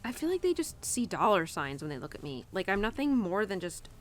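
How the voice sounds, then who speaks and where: A woman's voice: medium pitch, very animated, brisk, slight background noise, lightly acted. A young adult woman playing a stubbornly independent, conflicted cowgirl in an audio roleplay, confiding in her horse in a chatty, hesitant, informal way. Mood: exasperated. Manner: chatty, hesitant, informal, peppered with "like" and "you know". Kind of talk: audio roleplay monologue, intimate and conversational.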